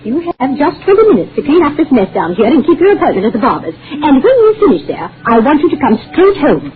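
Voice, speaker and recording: A middle-aged wife who rules the household, scolding and sharp-tongued. Her voice swings dramatically up and down in 1940s radio style. The sound is narrow and tinny, like an old broadcast. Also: sits high, sing-song, quick pace. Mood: bossy, exasperated. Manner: domineering, nagging, sharp-tongued.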